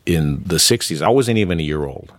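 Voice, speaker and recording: A middle-aged man from New York City speaks in a very deep, low register. He talks reflectively in a relaxed interview, livening up when he tells a story. The sound is close and dry. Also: fairly quick, dry recording. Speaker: interview guest, amateur actor. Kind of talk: conversational interview.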